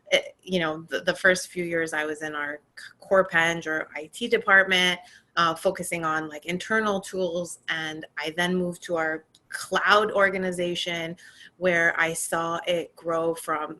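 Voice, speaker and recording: Bright-voiced woman, chatty interview guest. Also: fairly low pitch. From Iran and California. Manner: thoughtful, with frequent fillers. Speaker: podcast guest, tech program manager. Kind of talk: conversational interview.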